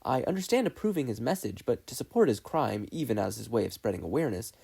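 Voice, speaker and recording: A man's voice: medium pitch, very animated, fast, gravelly, a little mellow, breathy edge, exaggerated voice. A man voicing an earnest teenage boy student who speaks in a stiffly formal, emphatic way in a story reading.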